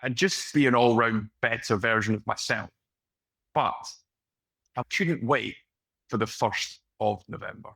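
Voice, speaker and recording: A Scottish man in his late thirties, a podcast guest telling his story in a relaxed, conversational way over a video call. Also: medium pitch, creaky, breathy edge, dry recording. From west of Scotland. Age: late 30s. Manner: relaxed, unhurried storytelling with fillers.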